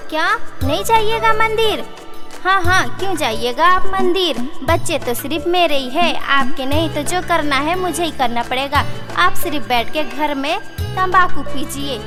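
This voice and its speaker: Cartoon village wife: high, slow, plaintive nagging, faint music. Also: female voice, expressive, long reverb tail. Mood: reproachful. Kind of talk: Hindi children's cartoon dubbing.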